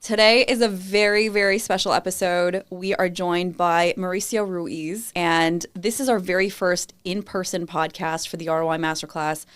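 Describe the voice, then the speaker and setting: Low-voiced enthusiastic woman podcast host. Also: steady tone, moderate pace, hardly any pauses, clear, resonant tone. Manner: enthusiastic, upbeat, warm introductions. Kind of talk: in-person podcast interview. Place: co-working space conference room.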